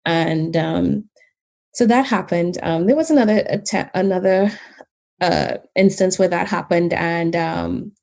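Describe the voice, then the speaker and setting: A woman from Trinidad and Tobago, speaking with a blend of Canadian and Trinidadian accent, talks calmly and in a measured way as a podcast guest, often laughing lightly. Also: lowish voice, vocal fry. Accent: Trinidadian mixed with Canadian. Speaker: guest, behaviour analyst. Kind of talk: casual interview conversation.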